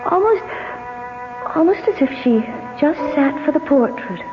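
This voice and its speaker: An actress playing a sensitive, anxious young American bride in a radio drama. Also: female voice, sits high, lively intonation, a little slow. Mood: entranced, uneasy. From United States. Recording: tinny old radio broadcast, music behind.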